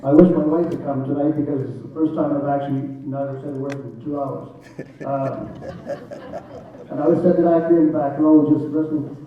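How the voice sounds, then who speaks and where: A man in the audience at a community meeting, speaking in a wry, relaxed way. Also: fairly high pitch, fairly quick. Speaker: audience member. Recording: echoey hall from far off, phone-like.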